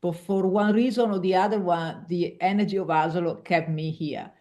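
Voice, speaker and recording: A woman's voice: on the low side, moderate pace, darkish tone. A woman from Italy's Veneto region, a native Italian speaker with an Italian accent, speaking as an executive coach guest in a casual interview. She restarts words often as she searches for her English phrasing.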